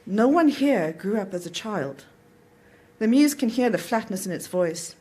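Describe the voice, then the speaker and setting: A woman's voice: medium pitch, lively intonation, a little slow, mellow, husky. A woman reading a literary short story aloud at an event, in the narrator's voice. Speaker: author reading own story.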